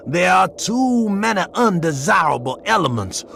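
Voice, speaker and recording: Creaky old warrior with wildly swooping pitch. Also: male voice, very animated, normal speed, fairly bright tone, a little hiss or hum, full character voice. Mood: grandiose narrating. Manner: grandiose, sing-song storytelling. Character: old wandering warrior brother, theatrical and cunning. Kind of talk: dramatic video game cutscene acting.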